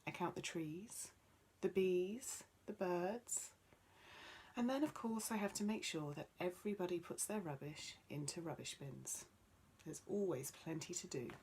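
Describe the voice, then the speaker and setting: A woman's voice: lowish voice, measured pace, darkish tone, slight background noise. A woman voicing a male talking magic tree in a children's story, wise and bossy yet kindly, with an instructive manner. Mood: cheerful, proud. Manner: chanting rhymes, instructive.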